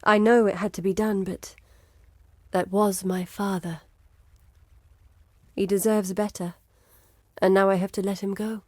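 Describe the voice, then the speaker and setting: An actress plays a determined woman guide in a dramatic fantasy game. Her voice sits at a medium female pitch, with clearly articulated, serious phrasing. The sound is dry, with no room around it. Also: level tone, unhurried, pauses often, mellow, dry recording, close to an everyday voice. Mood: sorrowful, subdued. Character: earnest, determined woman guide searching for her lost father. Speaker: game character voice. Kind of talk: dramatic video game voice acting.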